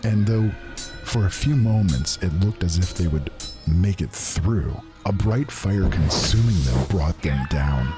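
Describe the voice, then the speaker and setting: An actor playing a haunted, grief-stricken man who solemnly and haltingly recounts fantasy horrors in archaic phrasing. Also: sits low, lively intonation, over music. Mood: horrified, dread. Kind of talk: dramatic first-person fantasy narration.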